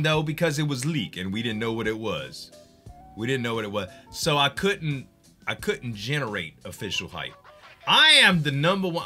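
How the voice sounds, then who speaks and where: Excitable man streamer, bright lively voice. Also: mid-range voice. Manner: excitable, hypes games, swears, laughs often. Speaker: game streamer. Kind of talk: casual live stream chat.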